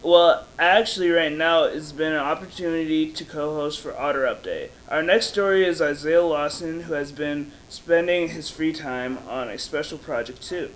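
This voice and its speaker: A man's voice: on the high side, normal speed, hardly any pauses, low-quality recording. A teenage boy co-hosting a student news show, reading his lines in an eager, upbeat way.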